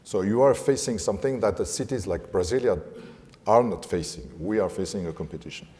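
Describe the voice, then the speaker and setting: A man with a French accent speaking on a panel, often pausing and searching for English words. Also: fairly low pitch, darkish tone. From France. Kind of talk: panel discussion. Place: conference hall. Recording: reverberant conference hall.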